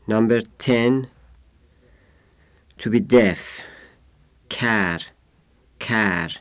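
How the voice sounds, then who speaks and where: A man of thirty-five from Yazd with an Iranian accent, a native Persian speaker, reading out a numbered word list in a deliberate way. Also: fairly low pitch, fairly bright tone, no echo, studio quality. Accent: Iranian (Yazdi). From Yazd, Iran. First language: Persian (Yazdi dialect). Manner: deliberate, isolated words with numbered glosses. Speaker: language informant.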